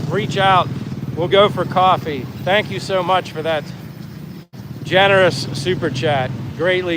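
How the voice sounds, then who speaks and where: A man chatting casually on a walking livestream, reading out viewer comments and thanking people in a friendly, effusive way. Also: high voice. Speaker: livestream host. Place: outdoors on the move, near traffic. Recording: clear outdoor traffic and engine noise.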